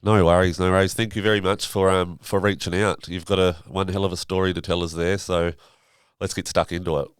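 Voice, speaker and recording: A man hosting a podcast, asking relaxed, informal questions. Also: low voice, fairly quick, clean tone, no echo. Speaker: podcast host. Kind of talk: casual interview hosting.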